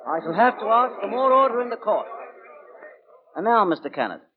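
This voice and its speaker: High-pitched, commanding coroner. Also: male voice, big pitch swings, brisk. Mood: stern, probing. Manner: formal, commanding. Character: brisk, authoritative coroner questioning in court. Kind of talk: 1940s radio drama acting. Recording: dull vintage recording with crowd murmur.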